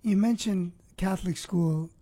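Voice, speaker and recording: Woman host, interviewer, mellow and low, dry close sound. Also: unhurried, dry recording. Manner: probing, asks follow-up questions. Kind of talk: interview questions.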